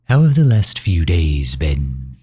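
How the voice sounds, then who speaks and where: Guy doing a suave villain boss, super deep voice, slow and pompous, bit breathy, asmr roleplay. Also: sing-song, mellow, no echo, clean studio sound, full character voice. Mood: musing, amused. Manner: pompous, leisurely, formal wording. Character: suave megalomaniac villain, pompous and impatient with his servant.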